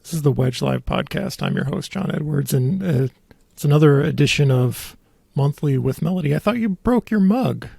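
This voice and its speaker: Man, podcast host, heavy vocal fry, husky, wry, halting, casual chat. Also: a little high, lively intonation, a little mellow, no room sound, studio quality. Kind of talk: casual conversational podcast talk.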